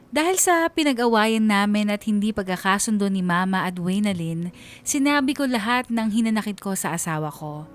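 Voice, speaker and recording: A woman's voice: medium pitch, close to an everyday voice. Reflective married woman voiced by an actress, music behind. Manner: reflective, confiding. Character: troubled married woman confiding her family conflict. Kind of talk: first-person narration in a radio drama.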